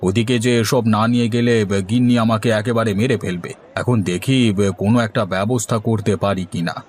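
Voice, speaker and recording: A man's voice: on the low side, fairly even intonation, a little fast, close to an everyday voice. A man playing a mild, polite village husband in a cartoon story, with a conciliatory way of talking. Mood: reluctant. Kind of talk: Bengali cartoon story dialogue.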